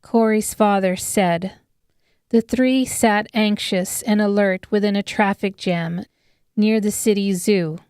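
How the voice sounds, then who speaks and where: A woman's voice: medium pitch, steady tone, slow, a little mellow, smooth, dry recording, studio quality. A woman reading scripted, dramatic audiobook narration of a story.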